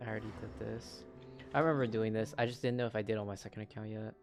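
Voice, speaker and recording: A man's voice: on the low side, steady tone, very fast, over music. A young man livestreaming a game, chatting casually in a laid-back way and often muttering to himself. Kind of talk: casual stream chat while gaming.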